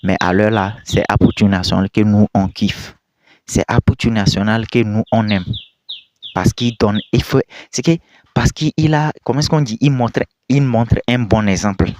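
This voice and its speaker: A man's voice: lowish voice, normal speed, a little mellow, muffled recording, a little hiss or hum. A young man, not a native French speaker, casually commenting to his viewers in an emphatic, repetitive way with scolding rhetorical questions. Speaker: video commentator.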